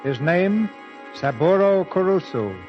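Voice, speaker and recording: A man's voice: high voice, expressive, slow pace. A man narrating a scripted 1940s radio drama in a grave, portentous manner. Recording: muffled old radio recording with music. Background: dramatic music.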